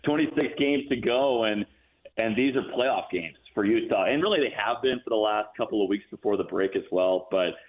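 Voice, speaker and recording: A man's voice: on the low side, fairly quick. A man speaking as an enthusiastic guest sportscaster in a conversational interview, telling things fluently. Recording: tinny narrow phone-like line.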